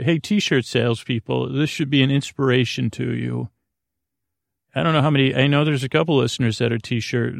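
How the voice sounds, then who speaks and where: A man with a creaky, gravelly voice in a close, dry recording. He hosts a bedtime podcast, wandering through improvised thoughts in halting, meandering sentences that trail off and restart. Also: mid-range voice, dry recording. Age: 40s. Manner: halting, meandering, stumbling over his own sentences. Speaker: podcast host. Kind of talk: rambling improvised bedtime monologue.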